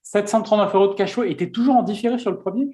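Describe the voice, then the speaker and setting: A man's voice: high-pitched, racing, warm tone. A man hosting a casual interview, chatty, with many fillers and restarts. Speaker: host.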